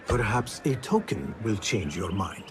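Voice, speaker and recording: An actor playing an earnest, loyal young male assassin in a video game, speaking in a measured way. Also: fairly low pitch, big pitch swings, slight background noise. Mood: calm, probing. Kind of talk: video game cutscene acting.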